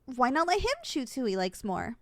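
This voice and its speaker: A woman plays a young succubus student with a high, swooping voice. She is possessive and competitive, blunt when indignant and quick to get flustered. The delivery is ASMR roleplay acting, recorded dry and close. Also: big pitch swings, no echo. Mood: defensive.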